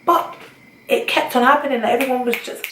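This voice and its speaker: A woman's voice: sits high, fairly even intonation, fairly quick. A young woman with a British accent, chatting casually and rambling to her camera. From Britain. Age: young adult. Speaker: vlogger. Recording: echoey room with faint noise.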